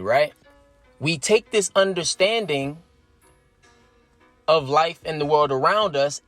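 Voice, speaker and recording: A thirty-year-old man talks straight to his viewers in a fairly high voice, building his argument point by point and tagging it with quick check-ins. Soft music plays faintly underneath. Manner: emphatic, explains step by step, keeps asking "right?". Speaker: vlogger. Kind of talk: casual opinion monologue.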